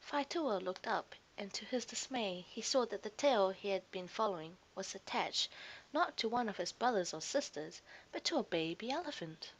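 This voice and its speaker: A woman's voice: mid-range voice, animated, moderate pace, low-quality recording. A woman narrating a scripted children's story expressively, as a storyteller to young listeners.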